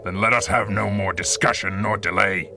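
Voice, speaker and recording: A grave supervillain leader played by a male actor speaks in a low voice with heavy creak. He is commanding and theatrical, pronouncing each phrase deliberately, like a 1930s radio serial villain, over a clear music bed. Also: steady tone, lightly acted. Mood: solemn, commanding. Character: grave, commanding male supervillain mastermind leading a secret cabal.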